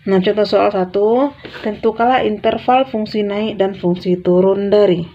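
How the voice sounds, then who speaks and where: A woman's voice: medium pitch, fairly even intonation, measured pace. A woman explains math step by step in an informal, big-sisterly way, repeating key phrases as she writes. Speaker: math tutor. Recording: dull, lo-fi sound.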